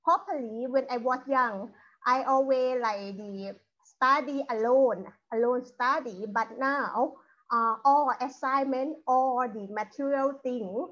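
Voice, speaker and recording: A woman lecturer with a Thai accent talks slowly and haltingly over a video call, her voice sitting a little high. She fills gaps with many ums and starts phrases over. The sound is dull and lo-fi, like online call audio. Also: fairly bright tone. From Thailand. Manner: halting speech with many fillers and restarts. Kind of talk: panel discussion talk.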